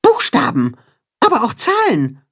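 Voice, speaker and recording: A man voicing a small, excitable mole who eagerly reports news in a children's story. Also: very high voice, a little slow, husky, pitched above the performer's own voice, exaggerated voice. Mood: excited, eager.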